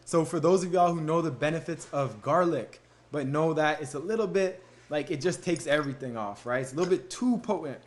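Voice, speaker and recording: A young man hosting a casual live stream, answering viewer questions and thanking commenters in an easygoing way with frequent fillers. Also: fairly high pitch. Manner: fillers and laughs between answers.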